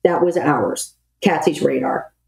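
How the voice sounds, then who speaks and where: A woman's voice: low-pitched, a little slow, vocal fry. A middle-aged woman explaining procedures in an interview, matter-of-fact, as a retired military officer would. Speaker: podcast guest, retired Navy air traffic control officer. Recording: roomy, echoey voice recording.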